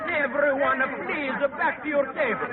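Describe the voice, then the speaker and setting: An actor playing a fussy, greedy foreign café owner with hesitant, stilted phrasing, in 1930s radio style. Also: male voice, very high voice, steady tone, moderate pace, narrow, tinny audio, over a noisy crowd. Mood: flustered. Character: excitable, greedy male North African café proprietor. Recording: thin, noisy 1930s radio broadcast.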